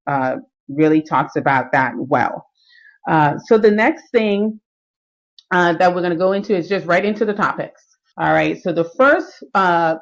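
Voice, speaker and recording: A middle-aged woman giving a live stream talk on fitness and nutrition, explaining at an easy pace with many fillers. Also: fairly low pitch. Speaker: health and fitness coach.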